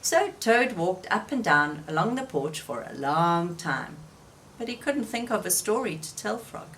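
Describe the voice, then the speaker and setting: A woman reading a children's story aloud in expressive storybook narration, recorded slightly away from the microphone. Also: fairly low pitch, measured pace, slight background noise.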